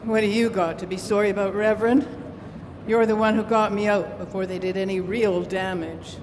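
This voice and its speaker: A woman's voice: medium pitch, steady tone, natural voice. A woman playing a worldly, defiant saloon keeper in an old-time radio drama, with a wry, folksy manner. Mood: guarded, sardonic. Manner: folksy, drawn-out, sardonic. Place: live stage staged as a radio studio. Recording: reverberant hall with clear background noise.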